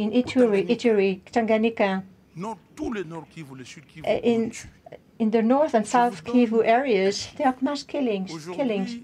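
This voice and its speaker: A woman giving a live simultaneous interpretation of a conference speech, in halting, piecemeal phrases with repeated words. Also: a little high, darkish tone.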